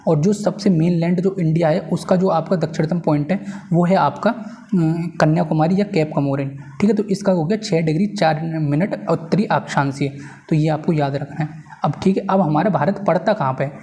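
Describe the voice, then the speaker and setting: Mellow-voiced man teaching informally. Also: fairly high pitch, moderate pace. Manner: repetitive, checking understanding with tag phrases. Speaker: teacher for exam preparation. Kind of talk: informal lecture explaining at a board.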